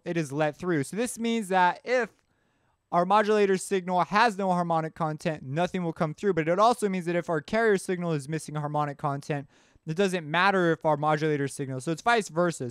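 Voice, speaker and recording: A man's voice: high voice, clean tone, no echo, clean studio sound. A man giving a casual, informal tutorial, explaining things as he thinks aloud. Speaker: music production tutorial instructor. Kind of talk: casual explanatory screencast narration.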